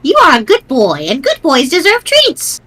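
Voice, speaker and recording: A woman's voice: sits high, huge pitch swings, moderate pace, sharp tone, heavily acted. A woman voicing a sweet old grandmother ghost in an amateur webcomic reading, riddling and doting in a theatrical, instructive way. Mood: fond.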